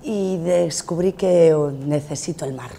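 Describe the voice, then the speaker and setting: Deep-voiced, effusive woman from Madrid. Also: unhurried, creaky, a little hiss or hum. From Madrid, Spain. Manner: warm, enthusiastic, effusive. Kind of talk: casual debate talk. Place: TV studio.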